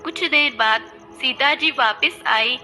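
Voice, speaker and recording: A woman's voice: sits high, slow pace, sharp tone, soft background music. A woman narrating a scripted story as a storyteller.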